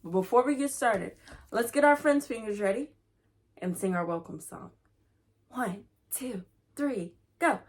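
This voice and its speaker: A woman's voice: on the high side, expressive, a little slow. A woman hosting a children's read-aloud, chatting playfully to young listeners and reading aloud.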